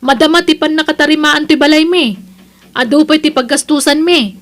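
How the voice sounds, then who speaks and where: A woman acts out a busy, tight-fisted daughter in an audio drama. Her higher voice comes across loud and brusque, brushing people off. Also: a little fast. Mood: curt, dismissive. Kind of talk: Ilocano audio drama acting.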